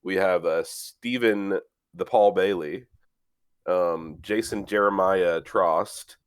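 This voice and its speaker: A man's voice: low-pitched, takes it slowly, clear, resonant tone, no room sound, clean studio sound. A young man hosting a casual sports podcast, a bit congested from a cold, rambling with many fillers and swearing. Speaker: podcast host.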